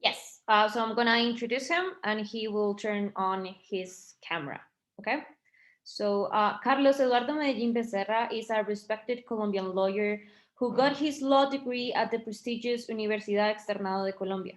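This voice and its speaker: A woman organising an event on a video call, making announcements in a halting, informal way. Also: mid-range voice. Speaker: event organiser introducing a guest.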